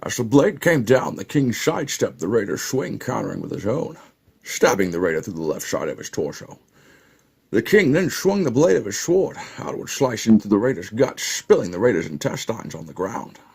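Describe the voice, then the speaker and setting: Old scottish movie star reading game lore, husky and gravelly, goes up and down a lot, mumbly wry asides. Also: male voice, lowish voice, big pitch swings, full character voice. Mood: dramatic, wry. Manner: halting, muttering asides, slips and repeats. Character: parody elderly Scottish screen legend narrating fantasy battle, wryly amused. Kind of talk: mock-epic storytelling for a video game.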